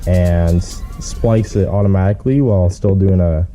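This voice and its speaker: Low, very mellow-voiced man vlogging casually. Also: measured pace, soft background music. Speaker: car vlogger. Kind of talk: casual how-to chat.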